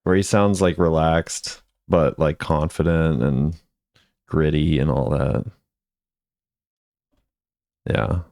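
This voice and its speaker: A man's voice: a bass voice, steady tone, slow pace, pauses often, a little mellow, no room sound, studio quality. A man hosting a gaming video, giving laid-back, off-the-cuff commentary as he thinks aloud. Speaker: video host ranking game voice lines.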